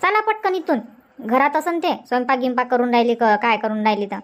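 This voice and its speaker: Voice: medium pitch, a little fast, natural voice. An actor voicing a chatty, stubborn village housewife in a comedy cartoon, nagging insistently in a rural Vidarbha Marathi accent. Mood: gossipy.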